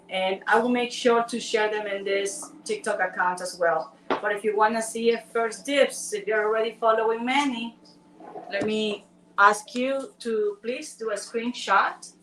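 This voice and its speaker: A woman's voice: medium pitch, a bit bright, recorded from a distance. A woman from Ecuador with a Spanish accent, chatting playfully with viewers while co-hosting a live stream. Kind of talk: casual live-stream chat. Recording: roomy sound from away from the mic.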